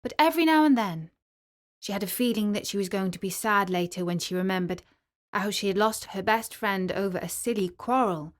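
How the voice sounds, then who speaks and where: A woman storyteller narrating a children's story in an expressive, clearly articulated storybook manner. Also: medium pitch, a little fast.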